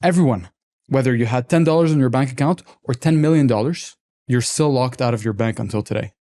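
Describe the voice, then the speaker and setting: A man in his twenties from Lebanon, a podcast guest telling his story in a relaxed, candid, reflective way. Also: medium pitch, brisk. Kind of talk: casual interview talk.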